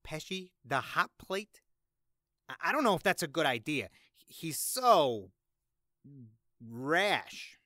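A bumbling small-time henchman in an audio drama, played by an actor. The voice is sharp and very animated, full of nervous, stammering bravado and eager to please the boss. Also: medium pitch, slow pace, long pauses, no echo, studio quality, heavily acted.

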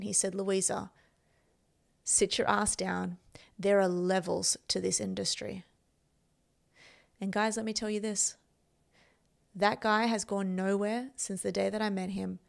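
Gravelly Australian woman, emphatic. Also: mid-range voice. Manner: emphatic, self-assured. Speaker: podcast host and performance coach. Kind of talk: motivational personal storytelling to camera. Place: indoor room. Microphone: podcast microphone on boom arm, close up.

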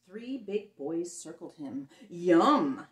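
A middle-aged woman performs a read-aloud for little children. Her pitch moves widely and she leans into dramatic emphasis and pauses. The room adds noticeable echo around her voice. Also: sing-song, darkish tone. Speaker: children's storyteller reading a picture book aloud. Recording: roomy echo on the voice.

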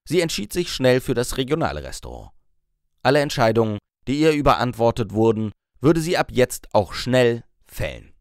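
A man's voice: mid-range voice, smooth, no room sound, studio quality. A man reading a scripted story as an audiobook narrator.

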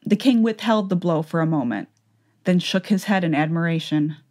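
A woman's voice: fairly low pitch, normal speed, darkish tone. A woman narrator reading a fantasy tale in a scripted, dramatic audiobook style.

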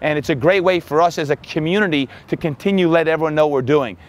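A man's voice: a little high, fast. Upbeat man coaching to camera outdoors. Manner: energetic, upbeat, talks with his hands. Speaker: fitness coach. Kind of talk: direct-to-camera tips. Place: outdoors by a building in sunshine. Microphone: lapel mic.

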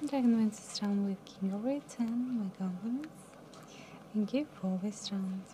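Soft mumbly young woman dealer calling cards, slow and warm, sorta whispery, faint hum behind. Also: medium pitch. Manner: soft, mumbly, drops to near whisper at round ends. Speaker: live casino dealer. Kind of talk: calling card game rounds. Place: casino studio table.

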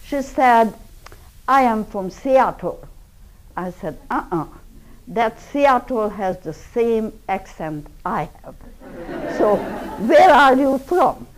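An older woman with a Hungarian accent, speaking in a deliberate, storytelling way in an indoor lecture room. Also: on the high side, very animated, some chatter behind, slight background noise, low-quality recording. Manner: slow, deliberate storytelling with broken English grammar. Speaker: public speaker. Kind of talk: personal testimony talk.

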